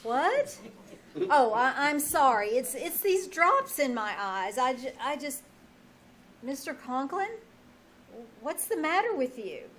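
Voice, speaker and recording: Woman playing a wry, high-pitched, animated schoolteacher. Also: slow, exaggerated voice. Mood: scatty. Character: wisecracking female schoolteacher, scatty and drily teasing. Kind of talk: old-time radio comedy acting. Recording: noisy live theater stage recording.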